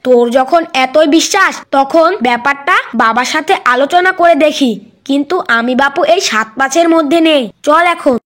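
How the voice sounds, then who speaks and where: Woman as a high-voiced, sceptical young cartoon critter. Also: moderate pace, fairly bright tone. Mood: doubtful. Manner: cautioning, bargaining. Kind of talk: cartoon fairy-tale voice acting.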